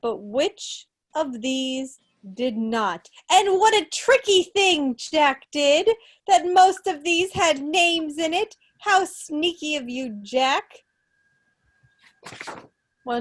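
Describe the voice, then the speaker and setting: An American woman in her thirties with a fairly high voice presents a quiz in an unhurried way. Her delivery is casual and playful, teasing like a schoolteacher, picked up on a computer mic at home. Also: expressive. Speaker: meeting host, quizmaster. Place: living room at home, on a video call. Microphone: computer mic on a video call.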